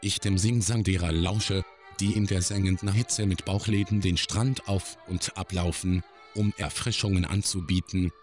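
A man performing a reflective middle-aged man's literary radio-play monologue, philosophical and measured. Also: deep voice, fairly even intonation, a little mellow, faint music behind, lightly acted. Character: reflective middle-aged man musing on his own life.

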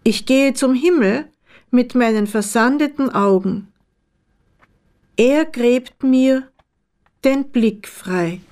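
A woman reading her own poems in a measured, deliberate way with pauses between phrases. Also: a little high, a little mellow. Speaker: poet, author reading own work. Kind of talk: literary poetry reading.

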